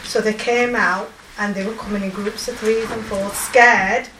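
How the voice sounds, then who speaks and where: Yorkshire woman, emotional halting testimony. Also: mid-range voice, fairly even intonation, roomy sound, recorded from a distance, noisy background. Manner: halting, emotional, many fillers and restarts. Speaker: volunteer. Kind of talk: personal testimony.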